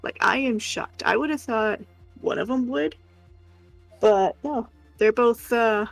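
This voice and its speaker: A woman's voice: a little high, takes it slowly, vocal fry, dry recording, faint music behind, low-quality recording. A woman chatting casually and informally as a co-host on a livestream. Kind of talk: casual chat.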